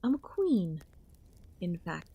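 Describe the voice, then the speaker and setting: Woman voicing a slow-speaking, possessive ancient alien queen. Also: on the low side, big pitch swings, husky, phone-quality sound, full character voice. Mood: solemn, regal. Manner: soft, teasing, menacing, drawn-out pauses. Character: ancient yandere alien queen, last of her kind, condescendingly affectionate. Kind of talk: ASMR audio roleplay.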